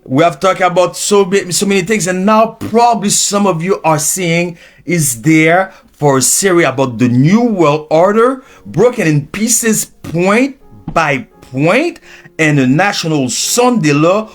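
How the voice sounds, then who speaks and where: A man from Haiti preaching an unscripted sermon, with Haitian Creole as his first language, restarting phrases and swinging in pitch and volume. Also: animated, measured pace, soft background music. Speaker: preacher and teacher.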